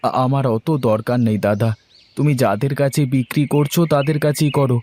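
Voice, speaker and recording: A man's voice: mid-range voice, steady tone, moderate pace, darkish tone, close to an everyday voice. Man voicing a hesitant, humble village farmer. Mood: earnest, reluctant. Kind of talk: cartoon dialogue acting.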